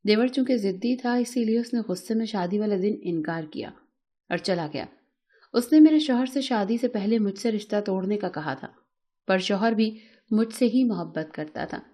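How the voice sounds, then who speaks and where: A woman's voice: a little high, mellow. A woman reading a scripted, emotional first-person story as a narrator, in a dramatic storytelling manner.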